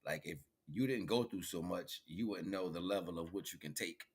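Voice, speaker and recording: A man's voice: sits low, normal speed, slightly rough. A man giving a casual, rambling motivational monologue, thinking aloud in a loose, repetitive way. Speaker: solo spiritual commentator.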